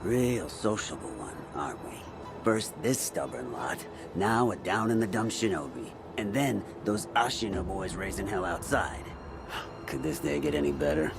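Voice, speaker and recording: Very deep, gravelly, sardonic rogue. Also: male voice, big pitch swings, moderate pace, background noise. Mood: grumbling, sarcastic. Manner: wry, grumbling, chatty. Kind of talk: video game character acting. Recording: music behind the voice.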